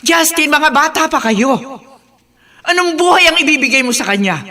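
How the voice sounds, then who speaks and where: A woman's voice: high-pitched, lively intonation, moderate pace, pushed and bright. A woman playing a stern, worried mother of a teenage son in an emotional radio drama. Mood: pleading, reproving. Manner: scolding, emotional, emphatic. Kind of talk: Tagalog radio drama acting.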